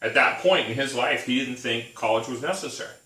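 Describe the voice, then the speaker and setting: Thirtysomething guy, bright voice, rambly casual chat, roomy echo, mic kinda far off. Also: mid-range voice, a little fast. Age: 30s. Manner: rambling storyteller, laughs often. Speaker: podcast co-host. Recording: echoey room, mic at a distance.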